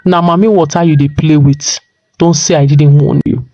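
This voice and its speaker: A woman's voice: sits low, fairly even intonation, moderate pace, mellow, no room sound, dull audio, lightly acted. A woman voicing a worried, earnest young man cautioning his brother in a storybook tale. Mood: worried, warning. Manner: pleading, cautioning. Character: earnest, worried brother warning a greedy fisherman.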